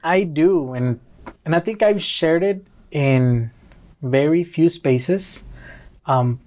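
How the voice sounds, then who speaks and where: A man in his twenties from Mexico, a podcast guest who talks in a relaxed, joking and thoughtful way. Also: on the high side, takes it slowly. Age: 20s. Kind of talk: casual interview conversation.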